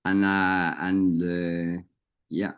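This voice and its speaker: A man's voice: low voice, little pitch movement, drawn-out words, clean tone. A man briefly introducing his network on an online webinar, upbeat and rambling. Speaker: network representative.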